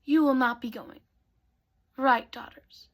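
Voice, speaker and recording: A woman's voice: high voice, fairly even intonation, slow. A woman voicing a haughty, cruel stepmother in a fairy tale, imperious and scornful. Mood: stern, scornful.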